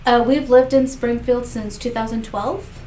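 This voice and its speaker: A woman's voice: fairly high pitch, fairly even intonation, a little slow, warm tone. Woman candidate, Spanish native speaker, earnest, mic at a distance with faint background noise. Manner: earnest, talks in restarts with many fillers. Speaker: political candidate. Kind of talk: interview answers. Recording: echoey room, mic far off, faint noise.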